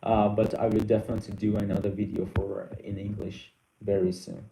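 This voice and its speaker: A young man whose first language is Persian, hosting a casual live Q&A, reading out viewer questions and answering haltingly with many fillers. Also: lowish voice, little pitch movement, gravelly, mellow, echoey room, a little hiss or hum, poor audio.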